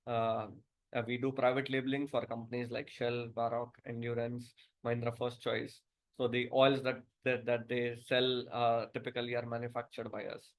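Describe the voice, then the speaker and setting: A man with an Indian accent giving a business presentation as a company director, halting and often repeating words. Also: medium pitch, flat delivery.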